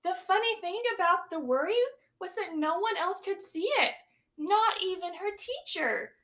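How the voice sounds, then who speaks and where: A woman's voice: extremely high pitch, lively intonation, moderate pace, a bit bright. A woman teacher reading a picture book aloud to young children, stopping to ask them questions and explain words.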